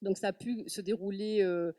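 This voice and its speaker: Woman panelist, chatty and halting, mellow tone, dry sound from an off-mic distance. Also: medium pitch, dry recording. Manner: many fillers and repeated words, animated. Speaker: festival representative on a panel. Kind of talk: panel talk.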